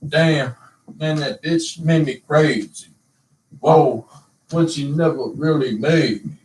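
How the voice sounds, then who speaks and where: A man's voice: on the high side, slow pace, darkish tone, far from the mic, slight background noise. A young man chatting casually with a friend and freestyling raps, loose and profane. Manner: loose, profane, laughing banter. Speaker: rapper. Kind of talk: casual chat and freestyle rapping. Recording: roomy, from off the mic.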